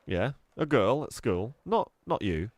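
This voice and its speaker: A man's voice: mid-range voice, sing-song, measured pace, no room sound. A man voicing a nervous young schoolgirl who stammers and hesitates, in a dry recording. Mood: nervous girlish.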